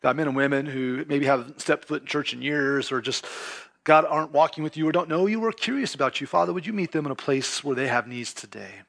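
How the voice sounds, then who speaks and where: A man with a high voice preaches a sermon to his congregation. He is from California and keeps his delivery casual and conversational, often checking in with the listeners as he goes. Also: brisk, gravelly, fairly bright tone. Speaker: pastor. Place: church.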